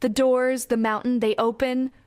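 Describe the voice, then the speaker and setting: Woman voicing an earnest, higher-voiced young woman soldier. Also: flat delivery, moderate pace, a little mellow, clean tone, no room sound, studio quality, close to an everyday voice. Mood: bewildered, sputtering. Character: earnest young female soldier, easily bewildered. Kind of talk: audiobook character voice.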